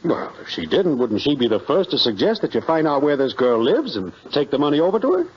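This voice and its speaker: A meddling American neighbour from a 1950s radio sitcom. His voice is high and very animated, with a husky edge. He preaches in mock-solemn earnest on a dull vintage recording. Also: a little fast. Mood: earnest, insistent. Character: meddling, melodramatic male neighbour, middle-aged, earnestly alarmist.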